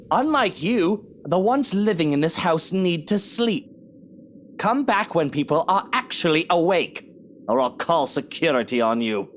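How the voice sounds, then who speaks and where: A woman's voice: mid-range voice, slight background noise, lightly acted. A woman voicing an irritable, haughty resident of a wealthy house in a horror visual novel, scolding with sarcasm. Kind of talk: dramatic visual novel voice acting.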